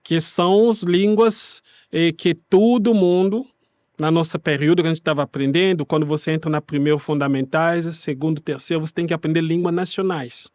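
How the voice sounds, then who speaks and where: A man's voice: a little high, steady tone, brisk, a little mellow, dry recording, muffled recording. A man from Kinshasa with a Congolese accent, a native speaker of Congolese languages, explaining in non-native, loosely grammatical Portuguese as an interview guest. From Kinshasa, Democratic Republic of the Congo. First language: Congolese languages such as Lingala. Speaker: interview guest, refugee rights activist.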